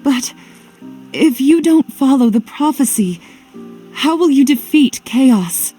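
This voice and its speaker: An actress playing a devoted, anxious young woman in a video game, gentle and pleading in manner. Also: high voice, expressive, slow pace, faint music behind. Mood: worried. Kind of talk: dramatic video game voice acting.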